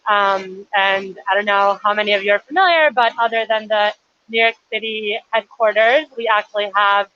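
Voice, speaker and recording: Bright-voiced young woman from Chile. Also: mid-range voice, steady tone, normal speed. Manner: friendly and articulate, with light fillers. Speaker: nonprofit intern. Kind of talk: casual interview answers. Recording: lo-fi livestream audio.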